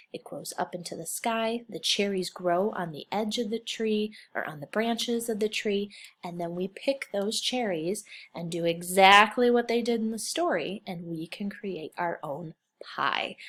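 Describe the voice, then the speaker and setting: A woman's voice: medium pitch, a bit bright. A woman reading a children's picture book aloud as a kindergarten teacher, expressively, with simple phrasing and questions put to young listeners.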